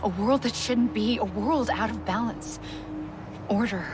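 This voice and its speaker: An actress voicing a grave, haunted woman in a video game cutscene, speaking quietly and intimately, often close to a whisper. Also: mid-range voice, a little slow, noisy background, close to an everyday voice. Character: grave woman who founded a network, haunted. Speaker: game character. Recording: game cutscene with loud score. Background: orchestral game music.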